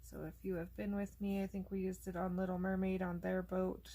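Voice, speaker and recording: Gravelly-voiced woman talking through coloring. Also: on the low side, brisk. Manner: chatty, relaxed, thinking aloud while working. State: losing her voice. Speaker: coloring channel host. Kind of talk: casual coloring tutorial narration.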